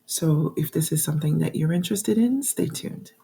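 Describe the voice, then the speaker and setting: A woman in her forties, hoarse from a cold, chatting to viewers about travel costs in a casual vlog with frequent ums. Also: sits low, lively intonation, normal speed. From New York. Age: 40s. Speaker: budgeting channel host. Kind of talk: casual talking-to-camera vlog.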